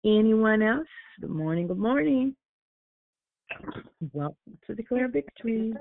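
A woman's voice: on the high side, lively intonation, unhurried, slight background noise. Woman hosting a call over a tinny phone line. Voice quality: gets raspy. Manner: repeats greetings, warm affectionate address. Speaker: host of a prayer conference call. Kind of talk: call-in greetings. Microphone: telephone.

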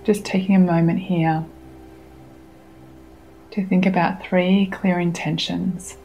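A woman with a lowish voice guides a meditation slowly and soothingly. Her delivery is very even, with long pauses between gentle instructions, and music plays clearly behind her. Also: rough recording. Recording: music under the voice.

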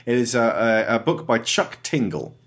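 A man hosts a comedy podcast, rambling through an introduction with lots of ums and uhs. His pitch swings about animatedly as he jokes. The talk is loose and unscripted. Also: sing-song, a bit bright. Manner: hesitant, full of fillers and quick jokes. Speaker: podcast host. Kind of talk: casual comedy chat.

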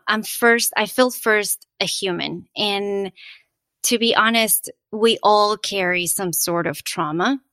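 A woman from Venezuela talks as a podcast guest, answering earnestly and reflectively. Her voice is bright and sits in a middle pitch, recorded dry and close. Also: smooth, dry recording. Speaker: guest, architect and artist. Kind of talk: interview conversation.

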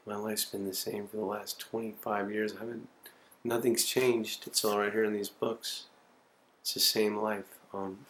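A man in his forties talks to his home camcorder in a rambling, self-mocking way, swearing freely. His voice sits low. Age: 40s. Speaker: video diarist. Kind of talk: casual self-recorded monologue.

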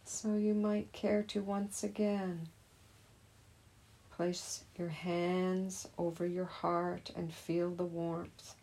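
A woman gently leading a guided meditation as a teacher, in a soothing, unhurried manner. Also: lowish voice, little pitch movement.

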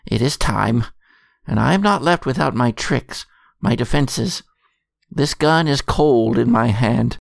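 A heavily creaky, gravelly storyteller played by a man, weary and secretive, resigned to doom. He narrates a dark audio drama in measured, portentous phrases, recorded close and dry. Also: medium pitch, expressive, no echo. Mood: resigned, grim. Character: weary secretive keeper of lore, doomed and resigned.

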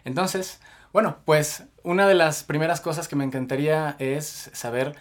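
A man's voice: a little high, steady tone, normal speed. A man with a Mexican accent hosting a podcast and introducing his guest at length with many fillers. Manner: rambling, filler-heavy introductions. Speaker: podcast host. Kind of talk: casual podcast interview.

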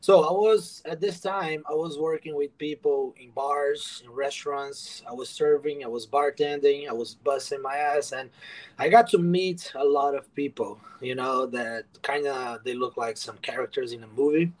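A man's voice: mid-range voice, fairly even intonation, normal speed, rough recording. A man from Brazil, a guest author telling his story in an earnest, rambling way full of fillers on a casual podcast.

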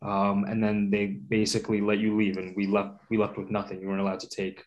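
A man's voice: on the low side, monotone, a little fast, dull audio. A man from Latvia, a guest in a casual interview, talking thoughtfully with many fillers and restarts.

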